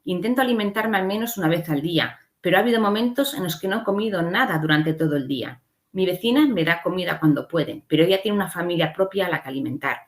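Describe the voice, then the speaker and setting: A woman's voice: lowish voice, lively intonation, fast. A woman from giving an explanatory slide lecture as a conference speaker, often adding short checking tags.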